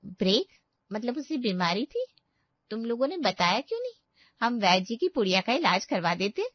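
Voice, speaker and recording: A woman voicing a simple, doting, melodramatic village aunt with a creaky voice in a Hindi cartoon story. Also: on the high side, very animated, normal speed, no echo, muffled recording. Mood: distraught.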